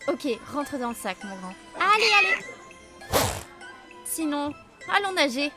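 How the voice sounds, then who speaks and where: A woman voicing an excitable, playful schoolgirl in a French animated fan dub, with expressive exclamations. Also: high voice, a little slow, over music. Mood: coaxing.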